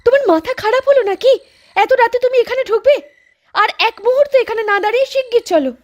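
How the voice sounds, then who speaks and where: A woman's voice: extremely high pitch, quick pace. A woman voicing a frightened, stammering village wife in a cartoon. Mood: uneasy. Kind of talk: cartoon voice acting.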